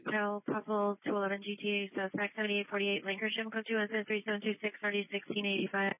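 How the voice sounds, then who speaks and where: Woman dispatcher, rapid clipped radio calls, thin tinny scanner sound. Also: mid-range voice, monotone. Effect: police radio. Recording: tinny narrowband police scanner audio.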